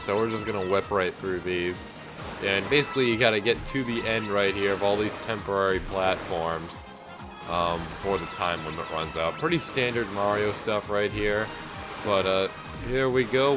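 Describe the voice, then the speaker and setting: Congested man rambling over game music. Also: fairly low pitch. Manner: rambling, relaxed, lots of fillers. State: congested from a mild cold. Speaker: gameplay commentator. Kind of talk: casual let's-play commentary. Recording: loud game music behind voice.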